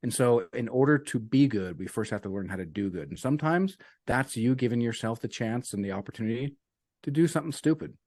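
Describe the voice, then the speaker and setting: A man's voice: medium pitch, brisk, darkish tone, dry recording, studio quality. A man talking as a coach guest in a casual interview, earnest, repeating his key sayings and dropping "man" and "you know" as he goes. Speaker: business and life coach guest.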